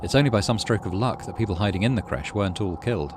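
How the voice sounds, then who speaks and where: A man's voice: on the low side, expressive, fast, noisy background. A middle-aged British man hosting a gaming video, reading story text aloud and adding casual commentary.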